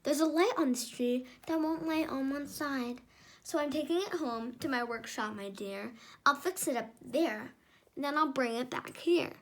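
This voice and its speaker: A woman's voice: sits high, moderate pace. A woman voicing a sly, mean male creature from a storybook, gloating and scheming, with a falsely sweet way of lying.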